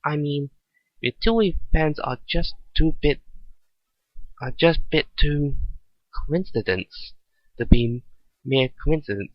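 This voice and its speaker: A man's voice: a little high, slow, pauses often, dry recording. Man reading as a earnest young female mechanic, muffled. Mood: curious, puzzled. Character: young female mechanic, kind, curious and pondering. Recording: dull lo-fi recording.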